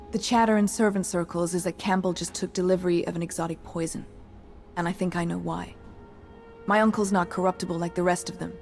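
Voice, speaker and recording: Worried young female servant, game. Slightly low, creaky voice, polite and pleading, faint music. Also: darkish tone. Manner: polite, hesitant, urgent. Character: earnest, worried servant woman pleading discreetly. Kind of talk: video game character acting.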